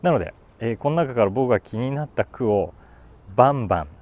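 A man in his forties talking casually about a book, thinking aloud and reading short lines with animated emphasis. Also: mid-range voice, fairly quick. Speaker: YouTube host, voice actor. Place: outdoors on a city rooftop.

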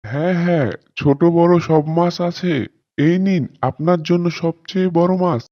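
A man's voice: a little high, normal speed, lo-fi sound, lightly acted. A man playing an eager market fish seller in a cartoon. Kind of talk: cartoon voice acting.

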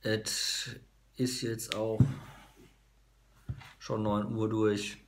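A man in his thirties, hoarse, vlogging casually to a phone camera in a small room, halting with many fillers. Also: lowish voice, little pitch movement, slight background noise. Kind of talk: casual vlog talk to camera. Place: small bedroom office indoors. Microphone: phone camera at arm's length.

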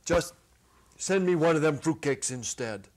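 A gloomy giant of a cafe owner, as a male storyteller plays him, loud, gruff and blunt. The voice is pushed up and booms out in a dramatic story reading, full of menace. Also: animated, a little slow. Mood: softened. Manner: booming, blunt, glowering.

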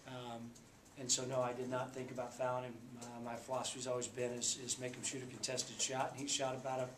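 Flat-voiced man in an echoey press room. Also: mid-range voice. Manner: measured, halting, many fillers and restarts. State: subdued after a loss. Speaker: basketball coach. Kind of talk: postgame press conference. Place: small press room indoors. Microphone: table mic. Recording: echoey room, faint background hum.

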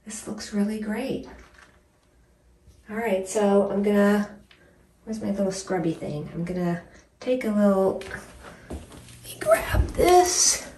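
A woman in her forties chatting casually in an expressive, emphatic way, as a home cleaning vlogger. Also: medium pitch, slow, pauses often, slight background noise. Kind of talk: casual vlog chat and narration while cleaning. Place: small tiled bathroom, indoors. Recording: roomy bathroom echo, distant mic.

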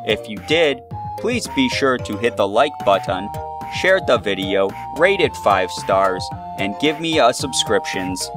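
A man plays a bland, earnest anime hero with a fairly low voice. He speaks in stilted, formulaic lines over faint music. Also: very animated, unhurried. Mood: polite.

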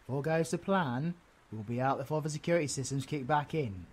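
An actor playing a cheeky, foul-mouthed British supervillain rogue in an audio roleplay, slangy and excitable in banter. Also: mid-range voice, fairly quick, lightly acted. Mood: scheming.

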